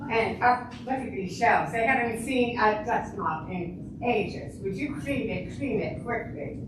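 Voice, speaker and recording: A woman's voice: on the high side, measured pace, natural voice. A woman in an amateur stage reading playing a fussy, grandly demanding diva opera singer, effusive and commanding. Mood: imperious, demanding. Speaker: amateur reader's theater performer. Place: large reverberant indoor room, distant mic. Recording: echoey hall from far off, noisy.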